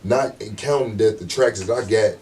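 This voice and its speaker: A deep, low-pitched man talks in a loose, easygoing interview, full of slang and constant check-ins with his listener. He sounds set back from the mic. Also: moderate pace, darkish tone, distant mic. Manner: laid-back, slangy, repeats "you know what I'm saying". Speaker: rapper guest. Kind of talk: casual interview talk.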